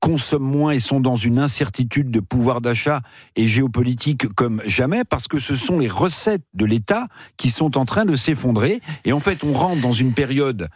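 Emphatic man with a lively voice. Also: medium pitch, normal speed, slightly rough, no room sound, clean studio sound. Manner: emphatic, indignant. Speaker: radio commentator. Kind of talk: opinionated radio debate. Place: radio studio.

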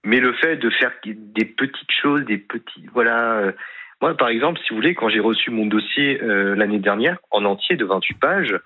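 A man calling in to a radio show, speaking hesitantly and haltingly, full of fillers. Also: medium pitch, lively intonation. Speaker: caller. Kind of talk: phone-in conversation. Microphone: telephone. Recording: tinny phone line.

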